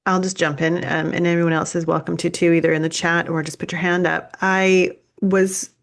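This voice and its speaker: A woman co-hosting a group session over a video call, relaxed and welcoming as she invites others to speak. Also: on the low side, brisk, vocal fry. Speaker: co-host inviting group comments. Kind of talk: casual group discussion.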